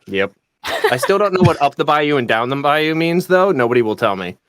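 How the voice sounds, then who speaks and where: A woman's voice: sits low, big pitch swings, fairly quick, no room sound. A woman in playful, joking casual chat as a voice actor on a panel. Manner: playful, self-deprecating. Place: home booth, on a video call.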